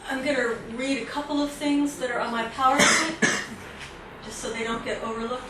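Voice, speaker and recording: A woman's voice: sits high, steady tone, moderate pace. A middle-aged American woman, a professor, giving a chatty, self-correcting guest lecture to students with teasing asides. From United States. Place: university lecture hall. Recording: roomy, distant, noisy hall recording.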